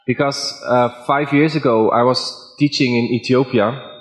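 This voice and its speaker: Slow, measured Dutch-accented preacher. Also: male voice, mid-range voice, steady tone, rough recording. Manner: plain simple wording, measured delivery. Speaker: guest preacher. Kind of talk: sermon.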